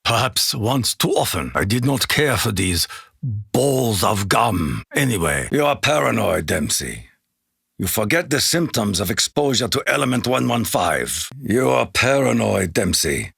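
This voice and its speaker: A very raspy, creaky-voiced Japanese warrior for a video game. He speaks accented English in a grave, formal, proud way, as one bound by honor. His pitch swings widely for such a solemn man. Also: very animated, measured pace, a bit bright, no room sound, clean studio sound, exaggerated voice. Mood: wary, puzzled, then stern. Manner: formal, grave, stilted, proverb-laden. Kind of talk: video game character voice acting.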